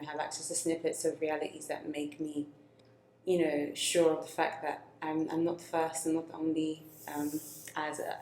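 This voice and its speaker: Young woman from Nigeria, low steady voice, measured and reflective, echoey room. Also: a little hiss or hum. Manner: slow, thoughtful, with pauses and fillers. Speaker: co-host. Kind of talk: reflective personal conversation.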